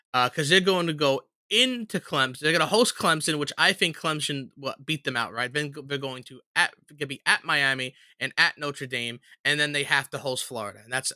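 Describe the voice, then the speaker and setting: A man's voice: on the high side, bright, forceful tone, no room sound. A man co-hosting a sports podcast, giving blunt, confident opinions in casual talk. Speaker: podcast co-host.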